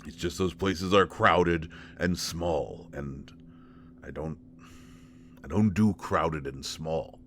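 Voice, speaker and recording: A man playing a gentle, awkward, devoted companion in an intimate one-sided roleplay audio drama, speaking in a halting, stammering way. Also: extremely low pitch, a little hiss or hum, full character voice. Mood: sheepish, wry.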